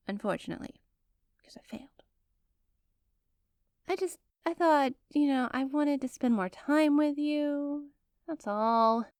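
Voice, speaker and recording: Casting: female actor as spoiled, obsessive girlfriend, young, sugary and coaxing, clingy, mid-pitched, for dry close ASMR roleplay. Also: dry recording. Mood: sheepish, pleading. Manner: coaxing, gushing, theatrically sweet, swinging into flustered pleading. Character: rich, clingy, possessive young girlfriend doting on partner.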